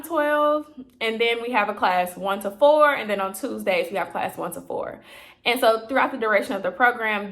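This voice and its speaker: A woman in her twenties from Chicago, a grad student vlogging late at night in a chatty, rambling way, her voice a little raspy. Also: mid-range voice, fairly bright tone. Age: 20s. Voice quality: slightly raspy. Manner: chatty, filler-heavy, explanatory. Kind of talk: casual late-night vlog chat.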